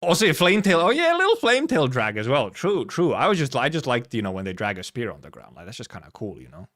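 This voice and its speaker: A man's voice: medium pitch, huge pitch swings, fast talker, fairly bright tone. A man streaming a casual game trailer reaction, rambling playfully, repeating himself and swearing casually. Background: faint music.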